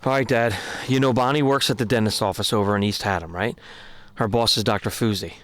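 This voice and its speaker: A man's voice: lowish voice, fairly quick, fairly bright tone, close to an everyday voice. An actor playing a frustrated young man standing up to his father, defiant and exasperated.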